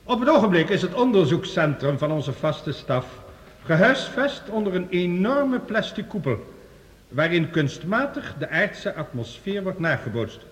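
A man's voice: high-pitched, sing-song, unhurried. An actor playing an earnest male scientist, giving a formal, precise lecture in a science fiction radio play. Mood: earnest, explanatory. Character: male scientist reporting Mars findings, earnest and authoritative. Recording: reverberant hall, radio drama production.

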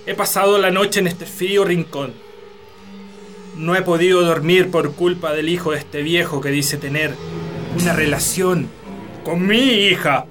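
High-pitched stern Chilean father. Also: measured pace, fairly bright tone, soft background music. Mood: stern, bitter. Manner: scolding, imperious. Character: angry stern protective father, rural landowner. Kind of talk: radio drama acting.

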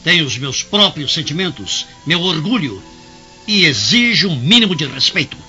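Proud Roman husband, piercing forceful voice, bitter, declamatory radio drama, muffled recording. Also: male voice, on the high side, sing-song, moderate pace, full character voice. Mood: bitter anger. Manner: declamatory, emphatic. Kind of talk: Brazilian radio drama acting. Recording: muffled band-limited audio, faint music behind.

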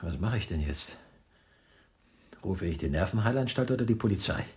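Incredulous man, lowish pitch, animated, scornful, exasperated, blunt, radio drama acting. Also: normal speed.

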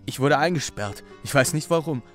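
A man's voice: on the high side, animated, moderate pace, fairly bright tone, faint music behind. An actor playing a troubled, bewildered young man in a radio drama, recounting things in an earnest, brooding way. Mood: confessing. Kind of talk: German radio drama acting.

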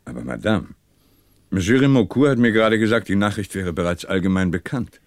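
Composed, wry middle-aged commissioner played by a male actor, low dry-sounding voice, polite probing questions, vintage radio drama. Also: a little fast, no room sound, natural voice. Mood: courteous, probing. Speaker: police commissioner in a crime radio play. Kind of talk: 1960s German radio drama acting.

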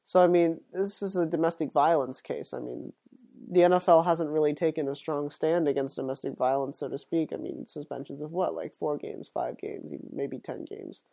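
A man's voice: on the high side, moderate pace, gravelly, dark tone. A man hosting his own sports podcast while sick and sniffly, talking casually and rambling with plenty of fillers and restarts. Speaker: podcast host.

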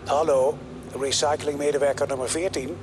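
A male actor plays an impassive dispatcher in a radio drama, issuing formal, clipped instructions. The voice is slightly high for a man, with clear noise behind it. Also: fairly even intonation, normal speed, lightly acted. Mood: official. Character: formal, unemotional male recycling service dispatcher giving orders. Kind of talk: Dutch radio drama acting.

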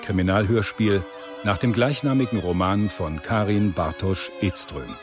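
A male announcer with a fairly low voice. He reads a scripted radio drama title, with music clearly playing behind him. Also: measured pace.

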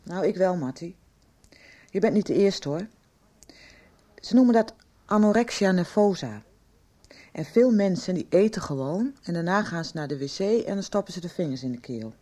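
An actress playing a concerned, insistent doctor questioning a young patient in a radio soap. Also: female voice, lowish voice, expressive, mellow. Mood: stern, concerned. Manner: direct, insistent, deliberate questioning. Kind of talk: Dutch radio soap acting.

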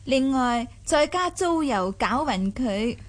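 A vivacious young mistress of a rich household in a radio drama. Her voice is high and her manner shrewd, playful and teasing. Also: expressive, slow, exaggerated voice. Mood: explaining proudly. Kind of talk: Cantonese radio drama acting.

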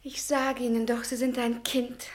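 A woman's voice: fairly high pitch, flat delivery, moderate pace, slight background noise, lightly acted. An actress playing a self-assured young woman who speaks in a pointed, teasing way, in a radio play.

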